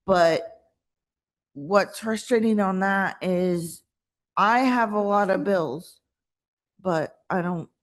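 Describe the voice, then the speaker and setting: A woman's voice: mid-range voice, slow pace, long pauses. A woman with an American accent, hesitantly asking a question at a meeting while searching for words. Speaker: meeting participant.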